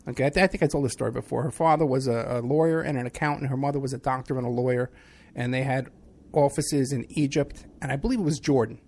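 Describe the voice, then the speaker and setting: A man's voice: mid-range voice, darkish tone. A man from New City, hosting a talk radio show in a rambling, sarcastic monologue. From New York City. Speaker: radio show host, private investigator.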